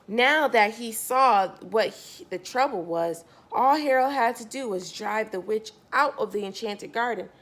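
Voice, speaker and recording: A woman's voice: fairly high pitch, expressive, measured pace, hardly any pauses, a bit bright, a little hiss or hum. A woman reading a picture book aloud to young children, expressively, stressing key words and speaking directly to her listeners.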